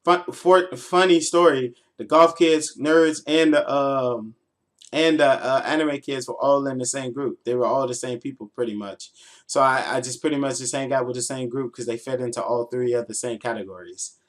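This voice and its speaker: A 24-year-old American man telling stories casually as a livestreamer, in a slangy, playful, animated way. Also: medium pitch, normal speed. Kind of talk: casual, informal storytelling.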